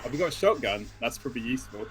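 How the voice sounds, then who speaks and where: A man's voice: medium pitch, animated, racing, heavy background noise. A man co-hosting a casual gaming playthrough, bantering with wry, mocking asides. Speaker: gaming show co-host.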